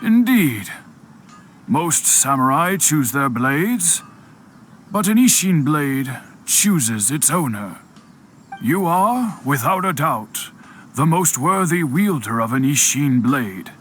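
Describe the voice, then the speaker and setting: An earnest, formal master blacksmith of middle age, speaking with heartfelt gravity. His voice sits a touch high, with a faint hiss behind this game cutscene. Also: big pitch swings, slow, fairly bright tone, exaggerated voice. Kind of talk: video game cutscene dialogue.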